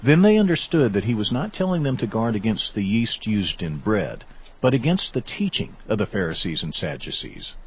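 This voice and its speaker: Husky, low-pitched male Bible narrator. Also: very animated, moderate pace, muffled recording.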